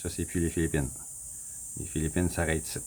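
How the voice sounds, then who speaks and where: A man with a Québécois accent, casually walking viewers through a map on his screen, rambling, repeating himself and clearing his throat often. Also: a bass voice, steady tone, fast talker, darkish tone, a little hiss or hum, poor audio. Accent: Québécois French. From Quebec. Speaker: vlogger. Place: home in the Philippines.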